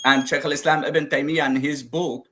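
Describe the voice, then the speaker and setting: A man whose first language is Arabic argues in a live online debate, speaking with a slightly raised pitch. His English is broken, and he works through his point one example at a time. He comes through on a video call. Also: level tone, normal speed, sharp tone. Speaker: debate guest.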